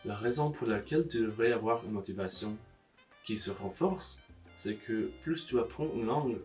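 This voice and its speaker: A man's voice: lowish voice, moderate pace, distant mic. Wry young man with a foreign accent. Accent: foreign, non-native French. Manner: blunt, wry and joking, with casual swearing. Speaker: language-learning vlogger. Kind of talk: casual advice monologue to camera. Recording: voice a little off-mic, faint guitar music.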